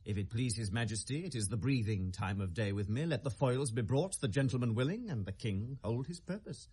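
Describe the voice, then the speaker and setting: An actor with a British accent playing a brooding, sardonic young prince in a classical radio drama, theatrical and deliberate in delivery. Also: male voice, medium pitch, animated, warm tone, breathy edge. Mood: mocking, ironic. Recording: 1970s radio drama recording.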